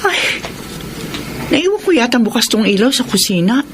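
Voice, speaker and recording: An actress playing a gentle, dutiful mature widow in a Tagalog radio melodrama, earnest and emotive. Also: female voice, a little high, sing-song, normal speed, noisy background. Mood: troubled, musing.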